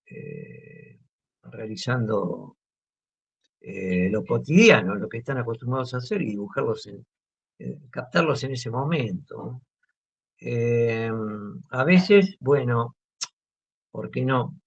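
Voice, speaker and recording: Gravelly-voiced Argentine man, hesitant. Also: medium pitch, expressive, slow, pauses often. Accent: Argentine Spanish. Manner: hesitant, many fillers and restarts. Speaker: guest artist. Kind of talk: relaxed interview talk. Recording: muffled lo-fi audio.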